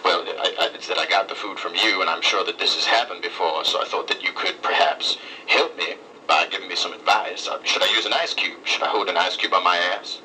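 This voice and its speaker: A man playing an earnest, distressed restaurant customer on a prank phone call, speaking in a deadpan, halting, insistent way. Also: sits low. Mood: insistent, complaining. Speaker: prank caller. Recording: distant, noisy phone call recording.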